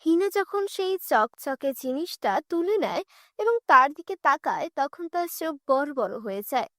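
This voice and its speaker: High-voiced woman narrating a children's story. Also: expressive, normal speed, a bit bright, no echo, clean studio sound.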